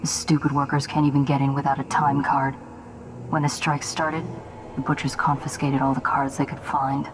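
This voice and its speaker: Brief: brash female killer, level even delivery, mid pitch, ambient noise around. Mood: brisk. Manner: brisk, cocky, matter-of-fact. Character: cocky young female assassin lieutenant.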